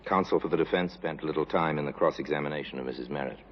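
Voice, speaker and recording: A man narrating a 1950s radio crime drama in a grave, measured voice. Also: extremely low pitch. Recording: dull old radio recording with music.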